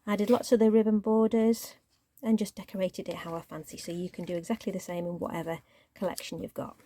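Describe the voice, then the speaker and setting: A woman hosting a crafting channel, chatting casually through her project, a little croaky and sometimes dropping to a near-whisper. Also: medium pitch, fast, dry recording. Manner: chatty, relaxed show-and-tell, drops to near-whisper while handling paper. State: croaky voice, clearing throat.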